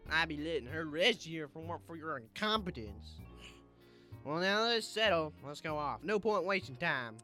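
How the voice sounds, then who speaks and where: A woman plays a stern female cat in an amateur audio drama. She has a Southern drawl and a bright, forceful edge, and she gives orders coldly, leaning hard on her words. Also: low voice, lively intonation, faint music behind. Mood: scornful. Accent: American Southern. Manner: commanding, scolding, drawn-out emphatic delivery.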